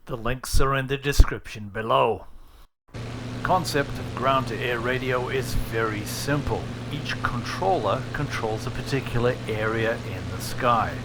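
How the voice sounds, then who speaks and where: A man's voice: medium pitch, a bit bright, a little hiss or hum. A man narrating a how-to guide in a casual but scripted, plain-spoken and instructive way. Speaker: tutorial presenter.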